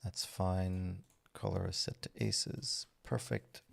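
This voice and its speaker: A man, chatting casually on a livestream and answering viewers' questions while he works, relaxed and rambling with lots of fillers and restarts. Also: sits low, takes it slowly, creaky, in a whisper. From Belgium. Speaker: streamer answering chat.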